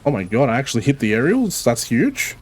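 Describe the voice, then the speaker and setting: A man with an Australian Melbourne accent chatting casually while streaming a co-op game, swearing freely and rambling quickly. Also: mid-range voice, sing-song, slightly rough. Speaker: streamer playing co-op. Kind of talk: casual gaming chat.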